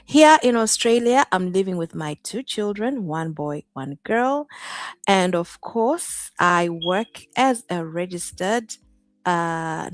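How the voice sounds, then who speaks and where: A woman's voice: lowish voice, no room sound. A woman from Zimbabwe, hosting a casual live-stream chat in a bubbly, exclamatory way. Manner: bubbly, exclaiming, laughs often. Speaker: host.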